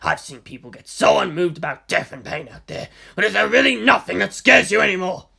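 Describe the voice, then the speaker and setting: A narrator voicing a gruff, aggressive male special forces team leader in an audiobook story, growling out commands. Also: mid-range voice, sing-song, a little fast, sharp tone, heavily acted. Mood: angry disbelief. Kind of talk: dramatic audiobook character voice.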